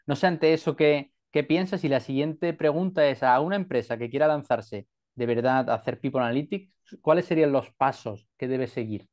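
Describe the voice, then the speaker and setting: Male interview host, mid-pitched voice, friendly, stumbles and repeats words. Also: moderate pace, hardly any pauses, a little mellow. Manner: friendly, stammers and repeats words, tags questions with "¿no?". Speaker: podcast host. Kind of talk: interview hosting.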